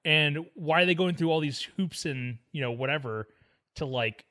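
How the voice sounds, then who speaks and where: A man's voice: a little high, moderate pace, sharp tone. A man co-hosting a film podcast, talking casually and jokily in an informal group chat. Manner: informal, jokey.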